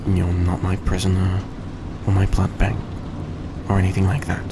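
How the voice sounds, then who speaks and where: A man playing a courteous, gentle vampire in an intimate audio roleplay, speaking politely and a little hesitantly to the listener. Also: low-pitched, moderate pace, creaky. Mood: hesitant, caring. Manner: soft-spoken, polite, hesitant, formal phrasing. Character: courteous, gentle male vampire repaying a debt. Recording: steady background noise behind voice.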